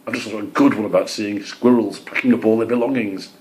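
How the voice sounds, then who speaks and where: A man's voice: lowish voice, fast talker, natural voice, low-quality recording. A man voicing a friendly, chatty female greenfinch bringing news in a children's storybook. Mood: eager, friendly. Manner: chatty, excited.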